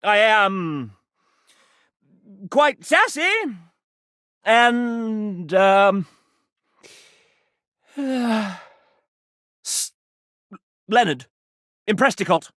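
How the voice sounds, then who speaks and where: An actor voicing a sassy, vain gentleman mascot in a video game, theatrical and pompous, with flamboyant old-fashioned phrasing and a husky edge. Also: high voice, lively intonation, very slow, long pauses, no echo, clean studio sound, exaggerated voice. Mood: proud, flustered. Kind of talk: video game character dialogue.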